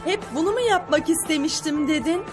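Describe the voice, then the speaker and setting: A woman voicing a tough, no-nonsense young tech expert in a cartoon dub, brisk and matter-of-fact. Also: sits high, over loud music, close to an everyday voice. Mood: firm. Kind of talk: dubbed cartoon acting.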